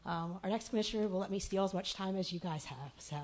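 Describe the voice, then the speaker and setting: Raspy-voiced woman chatting at a meeting. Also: mid-range voice, quick pace. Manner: chatty, full of fillers and restarts. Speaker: city official at commission meeting. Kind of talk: public address at a meeting. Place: city commission meeting room.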